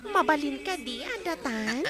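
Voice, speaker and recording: Coaxing flirt, very squeaky, lilting, Ilocano Filipino accent, comedy drama, prominent music behind. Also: female voice, expressive, measured pace. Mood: flirtatious. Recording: loud music under the voice.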